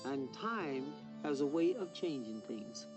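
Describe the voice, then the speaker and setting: A wise, gentle motherly cartoon owl, slow yet very melodic in her speech. Music sits behind her in the film clip. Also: sits low, animated. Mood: solemn, gentle.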